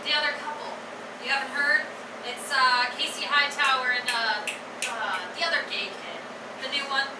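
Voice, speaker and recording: An echoey, very noisy live stage recording carries a jaded female teacher a woman playing her. She is blunt and openly indifferent, high-voiced, in a musical theater reading. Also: steady tone, moderate pace, distant mic, natural voice.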